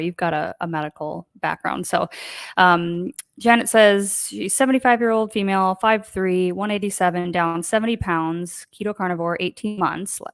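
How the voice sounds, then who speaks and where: A woman's voice: on the low side, no echo. A woman hosting a casual live online show, chatty and rambling with many fillers. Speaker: host. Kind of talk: casual livestream chat.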